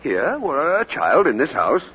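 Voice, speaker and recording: An actor playing a grieving middle-aged gentleman, wistful and brooding, in 1940s radio acting style. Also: fairly high pitch, wildly swooping pitch, unhurried, slightly rough, heavily acted. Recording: tinny old radio broadcast with faint hiss.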